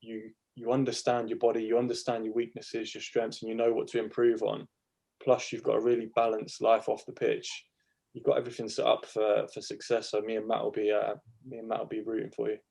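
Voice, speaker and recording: A man's voice: fairly low pitch, completely flat delivery, a little fast, vocal fry, mellow. A man co-hosting a podcast, talking in an encouraging, earnest way on a video call. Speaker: podcast co-host. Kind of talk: podcast interview chat.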